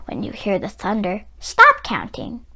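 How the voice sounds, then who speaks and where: A woman voicing a brisk, reassuring old farm grandmother in a storybook, with a folksy, coaxing way of talking. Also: mid-range voice, huge pitch swings, bright, forceful tone, heavily acted. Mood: coaxing, instructive.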